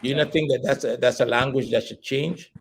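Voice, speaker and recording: A man from Trinidad and Tobago, hosting a sports discussion in a deliberate, earnest way with probing questions. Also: mid-range voice, a little hiss or hum. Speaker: sports show host. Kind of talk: discussion and interviewing.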